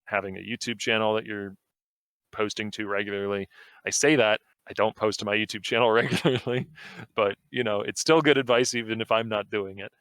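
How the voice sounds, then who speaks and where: A young man with a low-set voice chats easily as a podcast guest, wandering through his thoughts with plenty of ums and likes. The sound is close and dry, with no room around it. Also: fairly quick, clean tone, no room sound. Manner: relaxed, rambling, full of fillers. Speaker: guest streamer. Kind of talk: casual podcast conversation.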